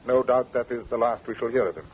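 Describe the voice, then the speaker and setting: A man playing a composed, shrewd British gentleman detective in an old-time radio drama, speaking with precise courtesy and dry irony. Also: mid-range voice, fast, slightly rough, natural voice. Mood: dryly dismissive. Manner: polite, precise, dryly ironic. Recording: faintly noisy, dull old radio recording.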